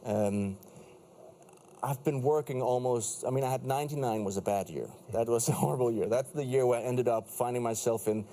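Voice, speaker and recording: A man's voice: mid-range voice, warm tone. A man whose first language is Danish, an actor guest on a talk show, chatting casually with frequent restarts. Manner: rambling, halting restarts. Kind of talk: casual chat.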